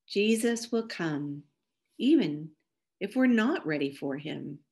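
A woman with a fairly low voice reads scripture and a short reflection very slowly. She takes each phrase with careful, measured weight, like a devotional voice-over. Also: expressive, creaky, a little mellow. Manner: slow, careful, measured reading. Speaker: minister.

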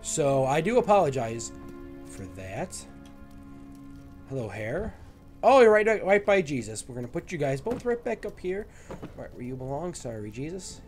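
A man's voice: on the high side, very animated, moderate pace, soft background music. A young man with a stuffed-up, nasal voice from a cold, chatting and joking to himself while playing a game at home on a headset mic. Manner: chatty, self-mocking, talks to objects. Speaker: gaming video host. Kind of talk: let's play commentary. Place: small room at home.

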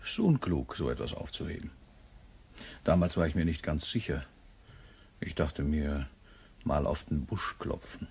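Casting: cool, calculating blackmailer, low husky voice, crime radio drama. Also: male voice, animated, measured pace, long pauses, a little mellow, full character voice. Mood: sly, guarded. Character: shrewd, cool male blackmailer. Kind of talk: German crime radio play acting.

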